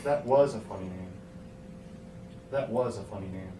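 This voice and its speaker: A man's voice: fairly low pitch, normal speed. A man reading a scripted story aloud as its narrator. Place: hotel room. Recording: echoey hotel room, distant mic, noisy.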